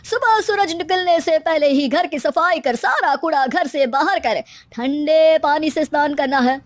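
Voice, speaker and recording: Woman acting a measured, knowing holy man. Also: high-pitched, dry recording. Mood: pronouncing. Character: calm, knowing male holy man advisor.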